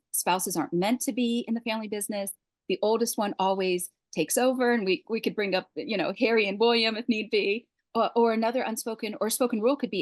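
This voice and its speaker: A woman's voice: medium pitch, darkish tone, no echo. Friendly lady interviewer, keeps affirming her guest, dry close mic. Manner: gracious, affirming, asks long questions. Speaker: podcast host. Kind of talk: podcast interview.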